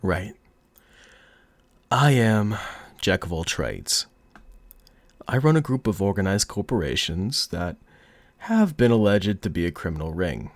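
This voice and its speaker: Casting: a man acting a cocky male mob boss for audio roleplay; deep voice, very lively intonation, put-on mobster accent, flirtatious menace, teasing drawl. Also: no echo. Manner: smug, teasing, theatrical drawl.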